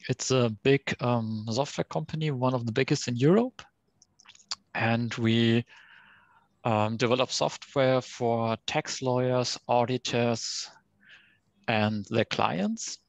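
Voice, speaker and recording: A man giving an explanatory tech presentation on an online call, in a careful, halting way. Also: mid-range voice, no room sound. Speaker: guest presenter, software developer. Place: online conference call with screen share.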